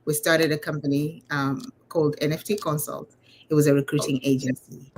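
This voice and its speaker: A woman with a low-pitched voice talks informally about her own experiences. She is a chatty interview guest, and there is faint noise behind her on the recording. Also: little pitch movement, fairly quick, darkish tone, rough recording. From Uganda. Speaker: interview guest, leadership coach. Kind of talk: conversational personal storytelling.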